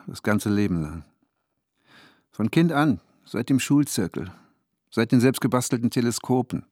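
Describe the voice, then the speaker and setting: Brooding scientist narrator, low and dry. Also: male voice, darkish tone, no echo. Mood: brooding, defiant. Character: troubled male scientist narrating his own ordeal, brooding, intense. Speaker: narrator and lead character in radio drama. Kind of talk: German radio drama, literary first-person narration.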